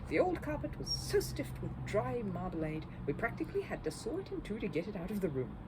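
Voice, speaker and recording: A woman's voice: medium pitch, very animated, fairly quick, background noise, close to an everyday voice. Woman putting on a stern, grumbling housekeeper. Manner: stern, disapproving.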